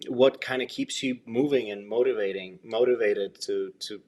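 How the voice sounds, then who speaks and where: A man's voice: lowish voice, moderate pace, darkish tone, a little hiss or hum. A man hosting a podcast interview, explaining his interest in people in a rambling, thoughtful way full of fillers. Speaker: podcast host. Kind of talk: casual interview intro.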